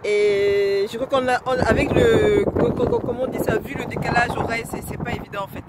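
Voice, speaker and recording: A woman's voice: on the high side, animated, brisk. Woman with the flu, casual vlog, rambling with fillers, outdoors, heavy wind noise. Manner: rambling, frequent fillers and self-corrections. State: has the flu. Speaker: vlogger. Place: outdoors by a lake in freezing wind.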